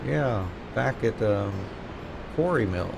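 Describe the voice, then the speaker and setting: A man's voice: medium pitch, takes it slowly, vocal fry. A man streaming a game, reading story dialogue aloud and chatting casually. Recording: steady noise behind the voice.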